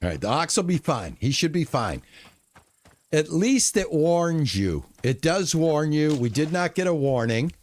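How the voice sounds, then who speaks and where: Relaxed, lively-voiced male game streamer. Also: on the high side, a little slow. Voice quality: lulling, relaxing voice. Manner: relaxed, chatty, talks to chat. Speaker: gaming streamer. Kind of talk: live gameplay commentary.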